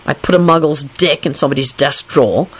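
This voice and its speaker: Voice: medium pitch, a little fast, breathy edge, natural voice. A reader voicing a surly, morose male werewolf wizard in a storybook, snappish and grumbling. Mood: morose, unhappy.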